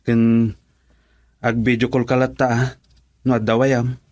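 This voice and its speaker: An actor with an Ilocano accent playing a friend who gives steady, persuasive advice in a radio drama. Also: male voice, medium pitch, measured pace, no echo, clean studio sound, close to an everyday voice. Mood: earnest. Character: friend giving advice, earnest man.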